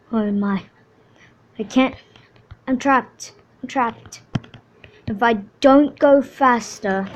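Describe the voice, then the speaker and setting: A woman's voice: on the high side, a little mellow, slight background noise, rough recording. A woman voicing a panicky young man trapped on a sinking ship, talking in a frantic, pleading way.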